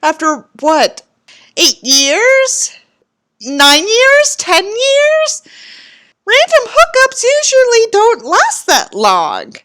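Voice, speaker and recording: A woman's voice: very high-pitched, wildly swooping pitch, extremely slow, bright, forceful tone, a little hiss or hum. A woman hosting a comedic reading with theatrical, sardonic commentary. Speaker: host of a comedic reading show. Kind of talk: sarcastic commentary on a dramatic reading.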